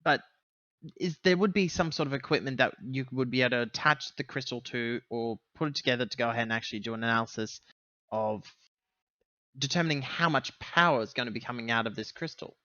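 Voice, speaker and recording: Bright-voiced man playing a tabletop game. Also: mid-range voice, a little fast, dry recording, dull audio. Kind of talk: casual in-game chat, talking through actions.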